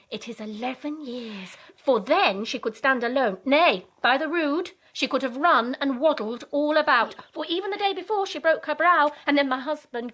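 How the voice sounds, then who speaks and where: A woman's voice: high voice, a bit bright. A woman playing a garrulous, merry, bawdy older nurse in a Shakespearean audio drama, rambling and repeating herself as she tells her tales. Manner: rambling, repetitive, chuckling storyteller. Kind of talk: Shakespearean full-cast audio drama acting.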